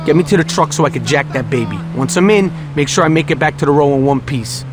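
An actor playing a wary, streetwise American gang member, casual and slangy, in video game dialogue. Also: male voice, a little high, fast, natural voice. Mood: uneasy. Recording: loud car noise behind. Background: car engine and driving.

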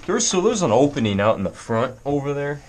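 A man's voice: mid-range voice, very animated, brisk, a little mellow. A man chatting casually to his camera as he explores a cave, thinking aloud as he goes. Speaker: vlogger exploring caves. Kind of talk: casual handheld exploration commentary. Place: inside a limestone cave.

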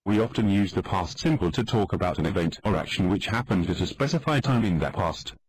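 A man's voice: deep voice, animated, a little fast, slightly rough, low-quality recording. A synthetic man's voice reading out grammar explanations and example sentences as text-to-speech.

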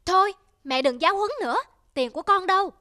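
A woman voicing a selfish young adult son in an animated moral tale, whining and complaining demandingly. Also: extremely high pitch, quick pace, no echo, studio quality, heavily acted. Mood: impatient. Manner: petulant, complaining.

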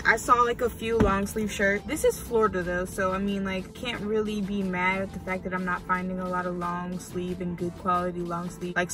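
A woman in her twenties with an American accent, chatting casually and slangily as a vlogger. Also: medium pitch. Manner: informal, slangy, animated asides. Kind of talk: casual vlog chat. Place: inside a parked car and at home.

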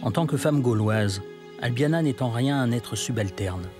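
A man narrating a historical documentary from a script, in a measured storytelling manner. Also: medium pitch, lively intonation. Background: music.

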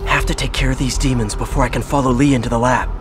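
An actor playing a young, earnest superhero in conversational video game drama. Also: male voice, medium pitch, fairly even intonation, fast talker, music in the background, close to an everyday voice. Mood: determined. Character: young male superhero, earnest, burdened, quippy.

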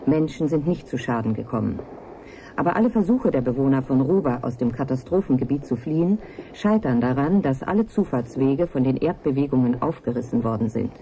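Sober, low-pitched news announcer. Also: female voice, expressive, fairly quick, dark tone, slight background noise. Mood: sober, factual. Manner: formal, factual, precise. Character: sober female news announcer reporting a disaster. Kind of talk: news bulletin within a radio play.

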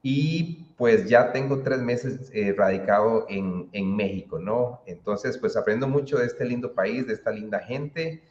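A man's voice: fairly low pitch, a little slow, hardly any pauses, darkish tone. A Costa Rican man in his thirties giving casual interview answers as a guest musician on a roomy, muffled, noisy video call. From Costa Rica. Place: indoor room, roomy.

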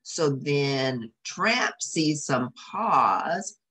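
A woman's voice: low voice, lively intonation, very slow, fairly bright tone, husky. A middle-aged woman reading a children's story aloud, with asides to young listeners. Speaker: storybook reader. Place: small indoor room.

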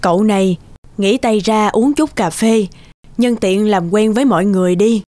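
A woman's voice: mid-range voice, moderate pace, close to an everyday voice. A woman playing a kindly, motherly middle-aged housekeeper in a cartoon, caring and deferential. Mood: friendly. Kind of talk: cartoon dubbing. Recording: music loud behind the voice.

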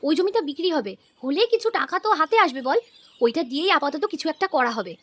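A woman's voice: high-pitched, sing-song, fast talker, a little hiss or hum. A woman playing an anxious, kindly mother-in-law in a cartoon, motherly and fretful. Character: anxious, kindly middle-class mother-in-law of grown son. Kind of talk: cartoon voice acting, domestic moral story.

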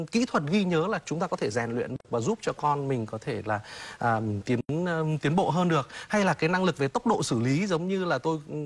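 A man in his thirties, an expert guest in a TV studio interview, explaining at a measured pace with many hesitation fillers, on a lapel mic. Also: fairly high pitch, slightly rough. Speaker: child psychology expert guest.

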